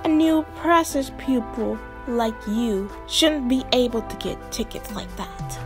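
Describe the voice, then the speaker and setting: A woman's voice: fairly high pitch, animated, a little slow, over music. A woman voicing a haughty, spiteful young unicorn bully in a cartoon audio drama, with a sneering, mocking drawl. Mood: smug, taunting. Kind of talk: animated fan audio drama.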